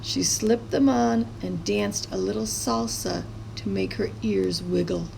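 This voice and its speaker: A woman with a creaky voice reading a children's story aloud expressively, as a storyteller. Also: mid-range voice, a little slow, background noise. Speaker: storybook reader.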